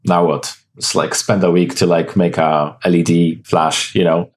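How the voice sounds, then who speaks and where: A man's voice: low-pitched, little pitch movement, normal speed. A Polish-born man in his thirties, guest developer, talking casually in an interview over a video call, rambling with many restarts. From Poland. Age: 30s. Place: home room with window. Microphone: video call with headset.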